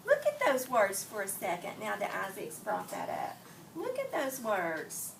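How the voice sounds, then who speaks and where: A woman's voice: mid-range voice, wildly swooping pitch, unhurried, vocal fry. A woman teaching young children through an interactive read-aloud, prompting them with questions and repeating their answers back to affirm them. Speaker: early grades teacher reading aloud to a class. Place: classroom with children heard around. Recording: roomy, distant mic, noisy classroom.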